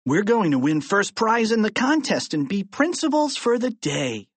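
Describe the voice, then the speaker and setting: Cheeky young schoolboy, done by a male narrator, enthusiastic, lively pitch swings, storybook read. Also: normal speed, no room sound, heavily acted. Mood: eager. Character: eager, cheeky fourth-grade schoolboys.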